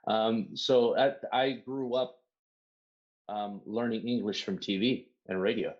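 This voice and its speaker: A middle-aged man from Southern California, Spanish his first language, talks in a low register through a dull, lo-fi recording. He tells stories loosely, acting out what others said and swearing freely in a casual podcast chat. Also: slow. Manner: swears freely, storytelling with mock quotes. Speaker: podcast guest.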